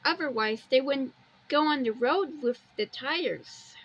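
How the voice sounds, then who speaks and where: A woman's voice: high-pitched, measured pace, a bit bright, muffled recording. A woman giving a casual amateur video walkthrough, plainly describing pictures and wandering off into personal asides.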